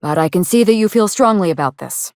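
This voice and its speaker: A woman's voice: mid-range voice, expressive, brisk, a little mellow, dry recording, close to an everyday voice. Woman acting a vengeful grieving female game character, sardonic, articulate, controlled delivery, dry close studio sound. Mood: defensive, indignant. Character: sardonic, grieving actress out to avenge her murdered sister. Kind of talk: video game dialogue acting.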